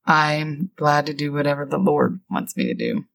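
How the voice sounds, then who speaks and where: A woman's voice: deep voice, wildly swooping pitch, gravelly, dry recording. A middle-aged woman co-hosting a podcast with her daughter, explaining a Bible word study in an easygoing, explanatory way. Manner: warm, explanatory, reads definitions slowly. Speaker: podcast co-host, Bible teacher.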